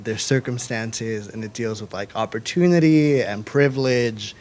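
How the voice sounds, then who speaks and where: A man's voice: mid-range voice, moderate pace. A man guest who writes and directs his own play, explaining it earnestly in a casual live chat. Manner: earnest, grows excited.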